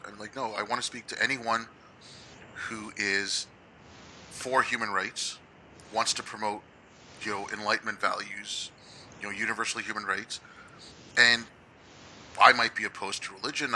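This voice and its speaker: Young guy hosting a podcast, really sharp bright voice, bit of hiss behind, laid back talk. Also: on the low side, poor audio. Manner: casual, says "like" and "yo" a lot. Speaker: podcast host. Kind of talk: casual podcast interview.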